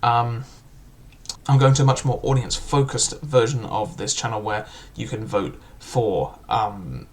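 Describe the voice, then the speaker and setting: A man's voice: mid-range voice, unhurried. Young man giving a rambling book review. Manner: chatty, full of "like" and "um" fillers. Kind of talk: casual spoken book review.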